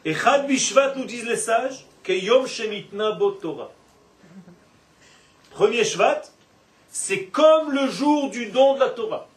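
A man giving an animated Torah class with playful asides, recorded from a distance in a roomy classroom. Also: very high voice, slow. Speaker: Torah teacher. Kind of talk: religious class lecture. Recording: echoey room, from far off.

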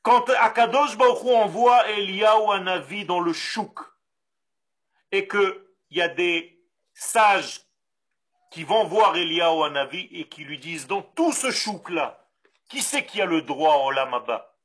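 A man from Israel with an Israeli accent, a Hebrew native speaker giving a Torah lesson in French, animated and full of rhetorical questions. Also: sits high, unhurried. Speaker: rabbi.

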